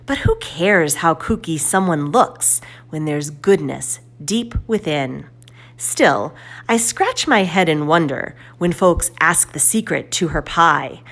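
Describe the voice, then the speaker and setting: Slow, expressive woman reading a children's story. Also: deep voice. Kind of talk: expressive children's read-aloud narration.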